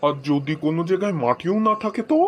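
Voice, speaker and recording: A man playing a cheeky, excitable comic character in a cartoon dub. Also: a little high, quick pace, lightly acted. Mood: questioning.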